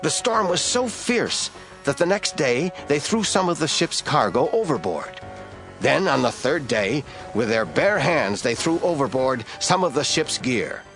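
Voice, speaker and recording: A man narrating an audio drama in a measured storytelling manner. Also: medium pitch, sing-song. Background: soft music score.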